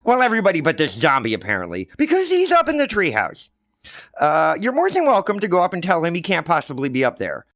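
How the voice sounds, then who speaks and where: A man doing a wry, high-voiced little boy. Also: wildly swooping pitch, fast talker, fairly bright tone, no room sound, heavily acted. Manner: dry, warning, sarcastic. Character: gloomy, wry young boy.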